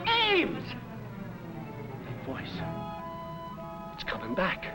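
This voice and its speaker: A man's voice: high-pitched, wildly swooping pitch, drawn-out words, full character voice. A male actor playing a blustering, slangy American army sergeant in a 1950s film comedy. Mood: frantic. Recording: old 1950s film soundtrack with music.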